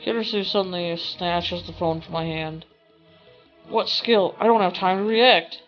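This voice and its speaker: A woman's voice: lowish voice, measured pace. A woman game streamer reading visual novel narration aloud in a theatrical way. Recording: dull audio with faint music.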